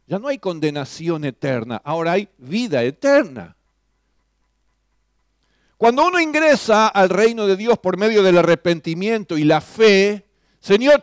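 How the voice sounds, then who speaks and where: A man's voice: sits high, smooth, no room sound, clean studio sound. A man preaching a sermon, emphatic and full of rhetorical questions. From Argentina. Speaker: pastor.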